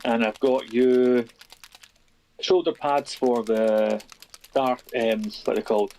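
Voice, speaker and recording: A man with a Scottish accent chatting easily as a guest on a stream, reading out a list with pauses. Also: medium pitch, fairly even intonation, a little mellow, poor audio. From Scotland. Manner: easygoing, reads out a list with pauses. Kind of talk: casual chat.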